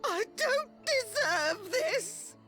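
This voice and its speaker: A woman playing a cruel, spoiled middle-aged mother in a video game, haughty and scornful with a shrill voice. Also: sing-song, very slow, music in the background, full character voice. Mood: venomous outrage. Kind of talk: dramatic video game acting.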